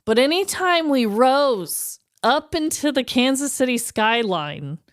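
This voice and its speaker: A woman co-hosting a comedy podcast in a casual storytelling style. Her voice is high and swings widely in pitch, with emphatic, dramatic delivery. The recording is close and dry. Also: big pitch swings, slow pace, no echo. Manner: dramatic, emphatic storyteller who repeats words and checks in with "okay?". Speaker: podcast co-host.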